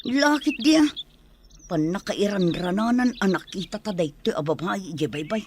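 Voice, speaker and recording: A woman's voice: mid-range voice, lively intonation, normal speed, darkish tone, slight background noise, natural voice. A woman playing a concerned country elder sister in a radio soap drama.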